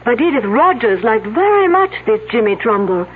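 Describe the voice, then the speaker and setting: Husky, prim woman witness. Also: fairly high pitch, very animated, normal speed. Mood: prim, confiding. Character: reserved woman witness, primly confiding about her colleagues. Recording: thin, phone-like old broadcast audio.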